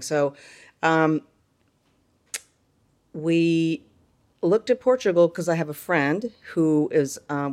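A middle-aged or older woman, a podcast guest telling her story casually and haltingly, with frequent ums. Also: very deep voice, darkish tone. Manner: halting, frequent pauses with um and you know. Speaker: interview guest, retiree.

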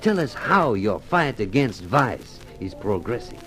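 French-accented barkeeper, animated pitch, courtly, deferential, 1950s radio mystery, music behind. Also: male voice, unhurried. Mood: admiring, curious. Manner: deferential, formal. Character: courtly, obliging French bar proprietor. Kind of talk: 1950s radio crime drama acting.

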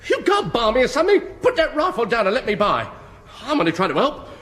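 Blustering high-pitched English warden. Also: male voice, huge pitch swings, fast talker, a bit bright, exaggerated voice. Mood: brash, scornful. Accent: English working-class. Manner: brash, argumentative. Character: bossy, blustering male air raid warden. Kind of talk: British radio sitcom acting.

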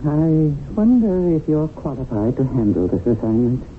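A stern, officious heavenly supervisor. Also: male voice, fairly high pitch, big pitch swings, moderate pace. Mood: reproving. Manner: accusing, officious. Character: stern, rule-bound male heavenly supervisor. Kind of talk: 1970s radio drama acting. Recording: tinny band-limited old radio broadcast, hissy.

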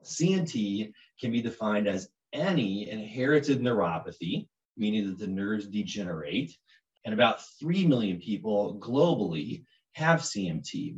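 A man explaining a medical condition matter-of-factly in a clip. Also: on the low side. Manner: informative, matter-of-fact. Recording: distant, muffled clip.

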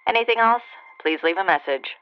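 A woman's voice: mid-range voice, lively intonation, fairly quick. An actress playing a curt, unhelpful woman's recorded greeting through an answering machine filter in an audio drama. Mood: curt, dismissive. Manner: brusque, dismissive. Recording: muffled, noisy answering machine tape.

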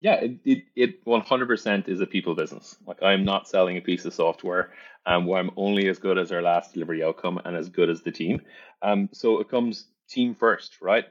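Deep-voiced Irish man, dull recording. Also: a little fast. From Ireland. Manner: friendly, restarts words often. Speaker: guest, services executive. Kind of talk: conversational interview.

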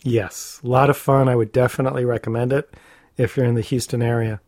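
A man's voice: mid-range voice, flat delivery, brisk, a little mellow. A man co-hosting a casual podcast, answering in short, agreeable replies. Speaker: podcast co-host. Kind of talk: casual conversational podcast chat.

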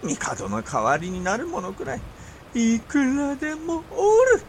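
Shrill, haughty medieval Japanese lord. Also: male voice, huge pitch swings, a little hiss or hum, exaggerated voice. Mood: dismissive. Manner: imperious, theatrical, drawn-out emphatic delivery. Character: haughty, impatient, suspicious male medieval Japanese ruler. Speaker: voice actor. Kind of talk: period radio drama acting in archaic speech.

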